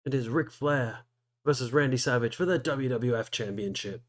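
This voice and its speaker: A man's voice: mid-range voice, moderate pace, faint music behind. A man giving casual, informative gameplay commentary over game audio. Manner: informative, trivia-heavy recapping.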